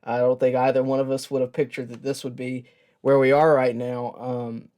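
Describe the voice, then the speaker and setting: A man hosting a sports show, giving a personal tribute in a reflective, earnest and halting way with pauses. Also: mid-range voice, level tone, dark tone. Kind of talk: personal tribute talk to camera.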